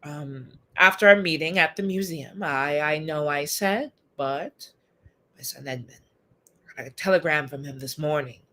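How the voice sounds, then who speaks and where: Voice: mid-range voice, normal speed, bright, forceful tone, natural voice. A performer voicing a polite, grieving English doctor, a middle-aged father, with a put-on British accent in an amateur story reading. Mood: grieving, urgent.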